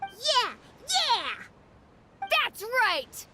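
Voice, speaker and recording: Extremely high, squeaky little companion. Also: female voice, huge pitch swings, very slow, noisy background, full character voice. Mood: cheering. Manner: squeaky, eager. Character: tiny, childlike, excitable cheering companion. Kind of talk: video game voice acting.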